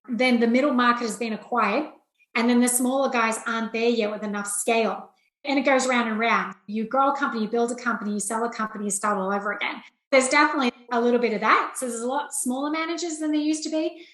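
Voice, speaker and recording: A woman's voice: a little high, a bit bright. A middle-aged woman with an Australian accent, speaking candidly and plainly as a business executive being interviewed. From rural Australia. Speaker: interview guest, investment banking executive. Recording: roomy, echoey sound.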